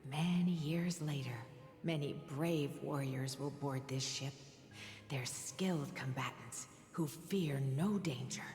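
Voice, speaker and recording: Game role: tender priestess mother, low soft breathy voice, long reverb, music behind. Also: slow. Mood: gentle, storytelling. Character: gentle, reassuring mother and priestess. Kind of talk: video game voice acting.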